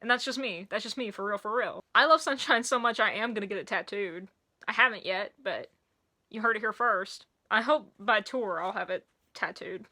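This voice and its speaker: A woman who is losing her voice hosts a casual song review to camera, gushing and excitable, often restarting her sentences. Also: medium pitch, normal speed. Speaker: music reviewer and video host.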